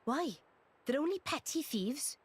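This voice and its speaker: A woman playing a brash, sharp-tongued young adventurer in a video game, blunt and slangy. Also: high voice, expressive, unhurried. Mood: irritated. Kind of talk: video game cutscene acting.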